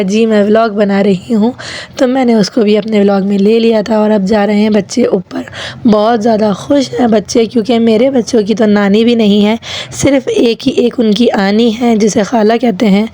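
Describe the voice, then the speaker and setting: A woman in her thirties with a hoarse voice from a sore throat, chatting to viewers as she narrates her family vlog. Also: medium pitch, mellow. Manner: chatty, addresses viewers directly. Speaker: vlogger. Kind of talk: casual vlog voice-over narration.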